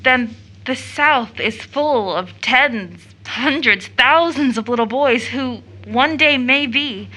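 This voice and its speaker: An actress playing an earnest, idealistic young daughter in a stage-style audio drama, speaking with emphatic, declamatory feeling. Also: female voice, sits high, slow, bright, forceful tone, full character voice, low-quality recording. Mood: troubled, building.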